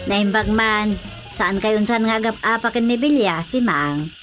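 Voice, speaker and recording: A woman's voice: mid-range voice, unhurried, over music, lightly acted. An actress with an Ilocano accent playing a nosy, outspoken village woman in a radio comedy drama. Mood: chiding.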